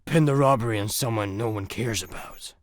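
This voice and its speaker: An actor playing a scheming, servile villain in a comedy sci-fi radio play, speaking in a measured, pompous way. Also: male voice, lowish voice, no echo, clean studio sound, natural voice. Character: smooth scheming male villain, servile to his doctor associate.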